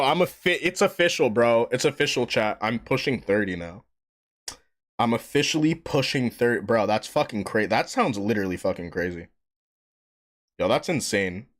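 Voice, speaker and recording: A man of twenty-eight, chatting casually and a bit tipsy on a gaming live stream, rambling in slang and swearing often. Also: on the high side. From New York. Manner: rambling, slangy, profane, says "bro" constantly.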